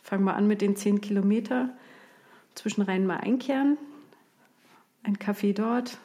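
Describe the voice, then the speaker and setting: A woman's voice: mid-range voice, measured pace, mellow. Woman interviewer from Bavaria, light and teasing, casual podcast host. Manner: playful, teasing, laughs easily.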